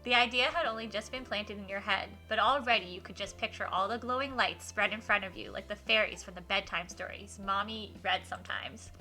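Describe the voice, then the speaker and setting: A woman livestreaming a game, switching between informal chat with viewers and expressive read-aloud in a giggly, animated manner. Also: mid-range voice, fairly quick, few pauses, faint music behind. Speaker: livestreamer hosting a visual novel playthrough.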